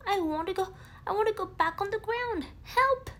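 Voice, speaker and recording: Woman voicing a squealing, high-pitched panicked little piglet. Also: normal speed, a bit bright. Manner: stammering, repeating words in panic. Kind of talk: children's storybook character voice.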